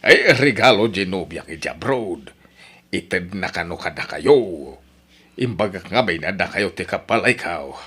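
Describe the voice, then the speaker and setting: An actor playing a vain, easily flustered elderly grandpa with an Ilocano accent in a comedic radio-style drama, exclaiming and muttering theatrically. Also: male voice, low voice, expressive, unhurried, bright, forceful tone, slight background noise, exaggerated voice. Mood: casual. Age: older.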